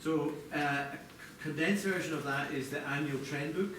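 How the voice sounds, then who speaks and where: A man presenting product design live to a group, explaining in a halting way with corporate phrasing. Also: on the high side, fairly bright tone. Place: large echoey indoor venue, apparently a hotel. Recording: echoey noisy room, distant mic.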